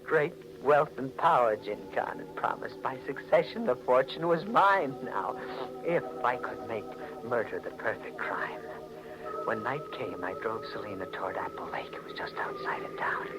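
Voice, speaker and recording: An actor playing an anxious, scheming husband who confesses to murder in a melodramatic 1940s radio mystery. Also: male voice, medium pitch, huge pitch swings, normal speed, over music. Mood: gloating. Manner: melodramatic, intense. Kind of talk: 1940s radio mystery acting, first-person narration. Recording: noisy old 1940s radio recording.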